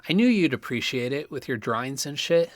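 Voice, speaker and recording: A man playing a jumpy, easygoing young man in an audio drama, with animated, slangy banter. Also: mid-range voice, a little fast, no echo, clean studio sound, close to an everyday voice. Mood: casual.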